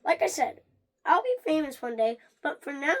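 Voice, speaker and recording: A high, female-sounding voice reads a children's book aloud for a read-along. The delivery is animated and a little halting, with stumbles and restarted phrases.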